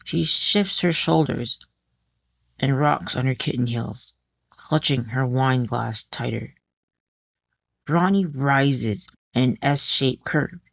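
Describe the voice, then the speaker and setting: Woman narrating an audiobook drama, takes it slow, really dry close sound. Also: a bass voice, gravelly, no echo. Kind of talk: scripted dramatic story narration.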